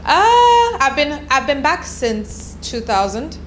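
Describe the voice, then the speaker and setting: A woman's voice: high voice, very animated, slow. A woman in her thirties, from Nigeria, answering interview questions in a candid, chatty way with restarts. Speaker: copywriter, interviewee. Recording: echoey room with background noise.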